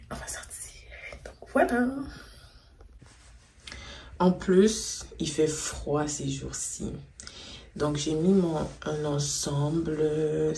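A woman's voice: low voice, creaky, dark tone. A young woman vlogging to her phone camera, chatting in a rambling way and sometimes dropping to a whisper. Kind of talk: casual vlog chat to camera. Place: bathroom, car and grocery store. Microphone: phone camera, held or on dashboard. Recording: phone audio with car and store noise.

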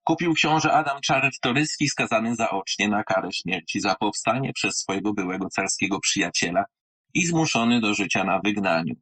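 A man reading and presenting literature in a theatrical, wry manner, with deliberate pauses and a husky voice. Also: fairly low pitch, lively intonation, fairly bright tone.

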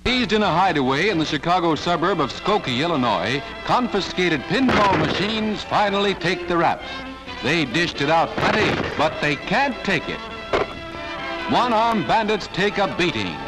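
A man's voice: a little high, low-quality recording. A man with an American accent narrating a 1940s newsreel in jokey, slangy patter. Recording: 1940s newsreel soundtrack with loud music. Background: loud newsreel music.

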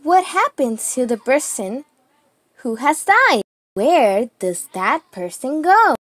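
A woman reading a children's picture book aloud, deliberately, phrase by phrase with pauses. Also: high-pitched, sing-song, a bit bright, no room sound.

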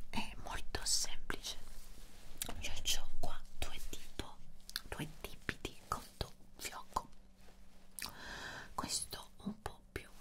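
A young woman whispers right up close to the microphone. She speaks very slowly and softly, reassuring the listener as an examiner in an ASMR medical roleplay. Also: mid-range voice. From Italy. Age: young adult. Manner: soft, unhurried, reassuring. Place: small dark quiet room, indoors.

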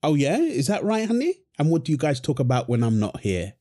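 A man's voice: fairly high pitch, wildly swooping pitch, brisk, warm tone. A man playing a young father feeding his baby daughter, playful and affectionate, laughing often. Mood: playful teasing. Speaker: voice actor in audio roleplay. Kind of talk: wholesome audio roleplay.

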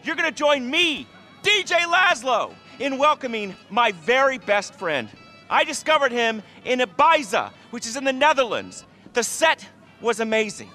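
An actor playing a desperate, boastful wannabe celebrity DJ in a video game, babbling and bragging in a needy way. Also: male voice, very high-pitched, big pitch swings, moderate pace, sharp tone, faint music behind, full character voice. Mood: hyped announcing. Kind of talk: video game cutscene acting.

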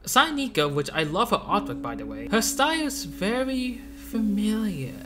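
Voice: mid-range voice, very animated, normal speed. A video essay host narrating from a script in a casual, joking manner. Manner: casual, joking, with playful exclamations. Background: music behind the voice.

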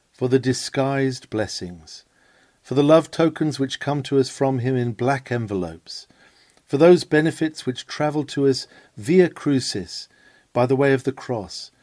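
Brief: man, dark and mellow tone, dry close recording, reading a sermon with dramatic swells and hushes. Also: mid-range voice, dry recording. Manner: rises and falls for emphasis, solemn pauses. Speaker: reader of a historic sermon.

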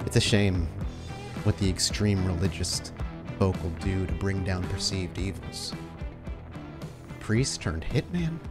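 A man voicing a composed, calculating power broker in a roleplaying game, quiet, measured and insinuating. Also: low voice, voice pushed down. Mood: cool, calculating. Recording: music behind the voice.

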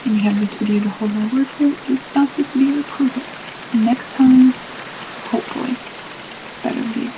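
A teenage girl talks into her laptop's webcam mic in a small bedroom, her voice sitting a little high. She rambles, says sorry again and again and fills gaps with ums. A steady hiss runs under the noisy, low-grade recording. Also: brisk, pauses often. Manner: apologetic, rambling, many fillers. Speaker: vlogger. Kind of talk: rambling apologetic webcam vlog.

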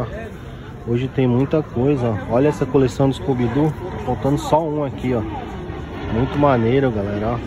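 A Brazilian man with a Brazilian Portuguese accent, filming a casual walkthrough of an outdoor flea market on a handheld phone and excitedly pointing things out as he goes. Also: medium pitch. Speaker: toy collector vlogger. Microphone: handheld phone camera. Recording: busy crowd noise outdoors. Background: market crowd chatter and traffic.

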